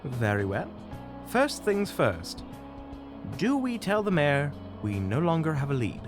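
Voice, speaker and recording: Guy playing careful formal hunter, slow deliberate talk, lively intonation, audio drama. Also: on the high side, over music. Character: formal, cautious male hunter, earnest planner. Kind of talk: audio roleplay acting.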